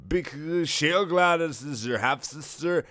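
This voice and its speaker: A man's voice: on the high side, unhurried, a bit bright, no echo, studio quality, pitched above the performer's own voice. A man voicing a dim, hostile robot henchman from a fan story, with a blunt, petulant manner. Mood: grudging.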